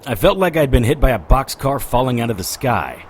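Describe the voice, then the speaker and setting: A man's voice: mid-range voice, very animated, fairly quick, soft background music, natural voice. An actor playing a wry, world-weary occult detective who narrates his own story in a noir-style audio drama. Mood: brooding narration. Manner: wisecracking, sardonic. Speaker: lead actor and first-person narrator.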